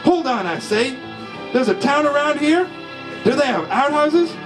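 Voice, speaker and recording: A man reading a comic first-person story aloud in a slow, folksy deadpan drawl at a live comedy show. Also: very high voice, over music. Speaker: storyteller reading his father's short story.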